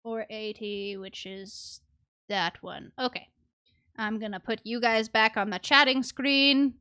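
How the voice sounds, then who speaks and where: A woman's voice: fairly high pitch, fairly even intonation, slow, bright, forceful tone, clean tone. A woman livestreaming a game in casual chat, playful and prone to muttering little sound words to herself. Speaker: game streamer. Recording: music playing behind the voice. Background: music.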